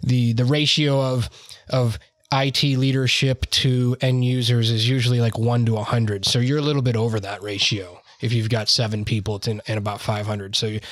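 A man hosts a conversational interview, rambling a bit and leaning on "like" and "you know". His voice sits at a middle pitch. The sound is dry and close, with no room echo. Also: little pitch movement, normal speed. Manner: chatty, rambling, many "you know" and "like" fillers. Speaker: podcast host interviewing IT leaders.

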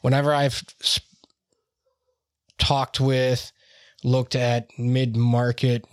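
A man hosts a conversational interview, rambling a bit and leaning on "like" and "you know". His voice sits at a middle pitch. The sound is dry and close, with no room echo. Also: flat delivery, slow pace. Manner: chatty, rambling, many "you know" and "like" fillers. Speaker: podcast host interviewing IT leaders.

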